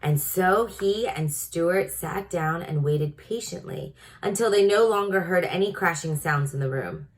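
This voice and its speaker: Lowish-voiced woman reading aloud to children. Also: unhurried, hardly any pauses, rough recording. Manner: teacherly, prompting listeners. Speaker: storybook reader. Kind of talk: read-aloud narration for children.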